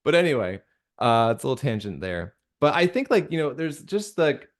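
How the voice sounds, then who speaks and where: A man speaking casually and reflectively as an interview guest, earnest and halting, often interrupting himself mid-thought. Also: mid-range voice, sing-song. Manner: earnest, halting, conversational with frequent self-interruptions. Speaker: guest, audio drama creator and director.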